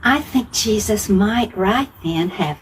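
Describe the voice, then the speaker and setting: A woman tells stories to young children at a slow pace. Her fairly high voice rises and falls a great deal, with simple phrases and repeated words for emphasis. There is faint background noise. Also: animated. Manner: simple phrases, repetition for emphasis, questions to listeners. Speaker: children's Bible storyteller.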